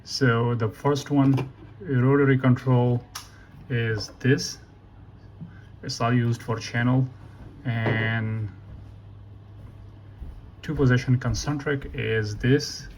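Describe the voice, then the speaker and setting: A man giving a casual, unscripted software walkthrough in a halting way, thinking aloud and correcting himself. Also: medium pitch, steady tone. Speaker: tutorial presenter. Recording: noisy room with keyboard typing. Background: computer keyboard clicks, ambient noise.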